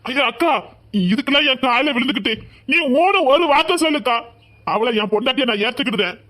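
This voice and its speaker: A man's voice: very high-pitched, fast talker, pushed and bright. A man with a playing a meek younger brother who earnestly promises his sister he will make a sacrifice. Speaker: skit actor. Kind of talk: village comedy skit.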